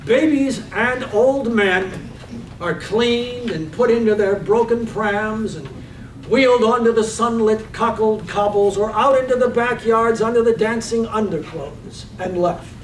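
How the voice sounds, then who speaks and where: A man plays a poetic village storyteller in a radio play reading, heard at a distance in an echoey room. The voice is unusually high, and the phrases are measured and savoured. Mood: lyrical. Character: lyrical storyteller narrating village life. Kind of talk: scripted radio-play narration. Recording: echoey, distant microphone, faint room noise.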